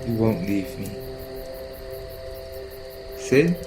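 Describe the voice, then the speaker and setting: A performer playing a young boy turned ghost in an audio drama, earnest, expressive and pleading. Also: mid-range voice, extremely slow, music in the background. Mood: weary, tender.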